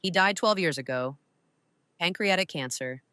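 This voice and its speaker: A woman playing a shrewd, composed older widow and mentor in an audio drama, speaking with deliberate authority. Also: fairly low pitch, expressive, sharp tone, no echo. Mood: sombre, firm.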